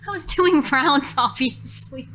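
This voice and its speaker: A woman's voice: high-pitched, slow, pushed and bright, a little hiss or hum, low-quality recording. A woman improvising horror story narration as a player in a tabletop game, thinking aloud expressively. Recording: music behind the voice.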